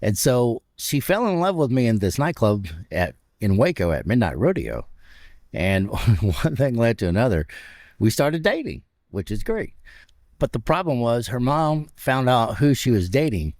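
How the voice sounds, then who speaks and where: A man in his fifties with a deep, raspy voice, a radio personality telling stories with laughs in a casual interview. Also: normal speed, dry recording, clean studio sound. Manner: animated, laughs between stories. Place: stadium club room.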